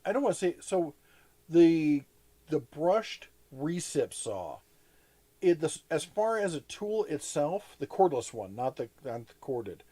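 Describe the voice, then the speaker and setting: A man's voice: on the high side, very animated, unhurried, warm tone, breathy edge. A man hosting a casual live chat, rambling with frequent restarts and plenty of enthusiasm. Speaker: host.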